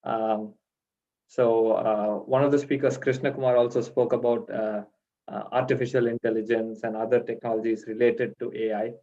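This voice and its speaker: A man's voice: medium pitch, little pitch movement, moderate pace, dark tone. A man from India giving an online conference talk as a guest speaker, with many fillers and restarts. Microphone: video call. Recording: dull lo-fi video call audio.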